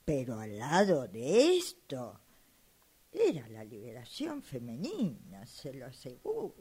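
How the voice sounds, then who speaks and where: A woman's voice: extremely low pitch, wildly swooping pitch, takes it slowly, mellow, husky, full character voice. Woman acting an exasperated elderly widow, swooping voice. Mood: weary, indignant. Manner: insistent, dramatic, flustered complaining. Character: exasperated elderly widow fed up with her phone. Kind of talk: amateur radio drama acting.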